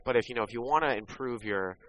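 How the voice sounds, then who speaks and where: A man's voice: mid-range voice, fast talker, a bit bright, a little hiss or hum, low-quality recording. A man who coaches poker and hosts a podcast, casually promoting his training products. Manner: plugging his products, confident.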